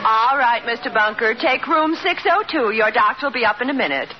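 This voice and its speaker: An actress playing a brisk, businesslike hospital receptionist in an old radio comedy. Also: female voice, on the high side, animated, natural voice. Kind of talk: 1940s-50s radio comedy acting. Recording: muffled old radio recording, faint noise.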